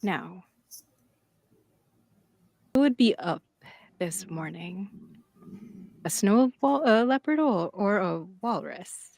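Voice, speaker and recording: A woman game master improvising narration for a tabletop roleplaying game, gentle, halting and encouraging. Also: mid-range voice, lively intonation, gravelly, no room sound.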